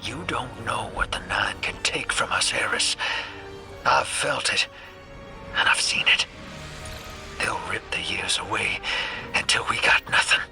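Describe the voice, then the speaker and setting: An actor playing a gruff, wry, cynical gambler rogue in a video game cutscene, with a slangy, folksy way of talking. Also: male voice, lowish voice, a little slow, long pauses, music in the background. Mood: grave, hushed.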